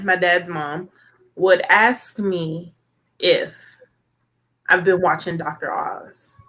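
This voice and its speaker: A woman hosts a relaxed talk show, speaking with a bright, forward tone. She chats casually, tossing in fillers and asking "right?" as she goes. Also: lowish voice, rough recording. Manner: chatty, with many fillers and tag questions. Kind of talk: casual chat.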